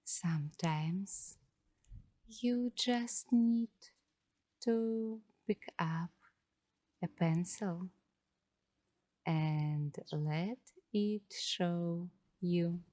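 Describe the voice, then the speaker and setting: A woman speaking in a soft, hushed, partly whispered voice as an ASMR host, giving meditative narration in short phrases with long pauses. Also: fairly low pitch, no room sound.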